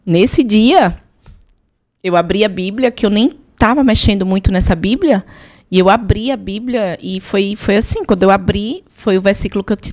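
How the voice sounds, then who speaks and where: A woman with a Brazilian accent telling personal testimony as a podcast guest, emphatic, repeating phrases and voicing her own prayers. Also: mid-range voice.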